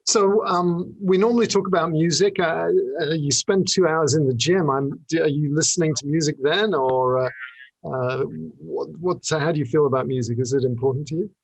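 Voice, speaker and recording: A man in his fifties hosting a casual interview, phrasing his questions haltingly and repeating words. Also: a little high, creaky, slightly rough, no room sound, rough recording. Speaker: podcast host, interviewer.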